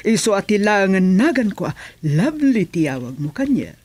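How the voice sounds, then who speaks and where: A woman's voice: medium pitch, big pitch swings, moderate pace, darkish tone, breathy edge, no echo. An actress playing a coquettish, fussy village lady in a radio comedy drama, speaking with an Ilocano accent in an affected way. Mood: coy, flirtatious. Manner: coy, affected, peppered with English phrases.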